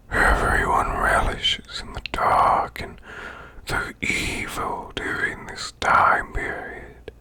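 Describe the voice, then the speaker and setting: A man voicing a haughty demon CEO in an ASMR roleplay, with a breathy demonic growl and a formal, menacing yet soothing manner. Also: sharp tone, full character voice. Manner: slow, pompous, formal, deliberate.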